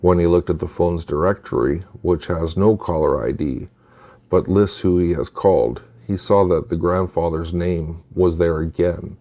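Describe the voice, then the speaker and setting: A man with a very low voice narrates creepy tales from a script. His tone is dark and soft-edged, and he delivers each line in a measured, deliberate way, as a storyteller would. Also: steady tone. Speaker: narrator of true scary stories.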